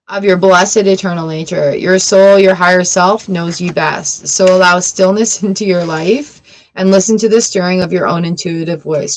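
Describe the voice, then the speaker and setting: A woman hosting a live tarot reading, reading card meanings aloud and reassuring her viewers in a gentle, encouraging way. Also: medium pitch, rough recording. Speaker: tarot reader.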